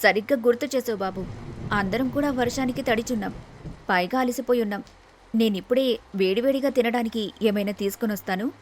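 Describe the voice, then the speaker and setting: A woman's voice: fairly high pitch, a little fast, slight background noise, lightly acted. A woman voicing a kind, selfless young daughter-in-law in a storybook tale, earnest and respectful. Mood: kind, obliging. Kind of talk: storybook character dialogue.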